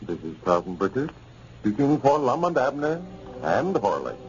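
A man's voice: medium pitch, sing-song, normal speed. A man working as an announcer, speaking in a 1930s radio style. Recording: noisy, muffled 1930s radio recording.

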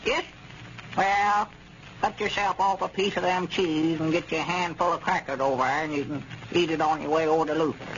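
High-pitched crotchety old Arkansas storekeeper. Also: male voice, steady tone, moderate pace, fairly bright tone. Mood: bossy, grumbling. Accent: rural Southern US, Arkansas hill country. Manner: folksy, flustered, muddled stammering. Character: crotchety but soft-hearted, literal-minded old country storekeeper. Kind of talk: 1930s radio comedy serial acting. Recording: muffled, noisy 1930s radio recording.

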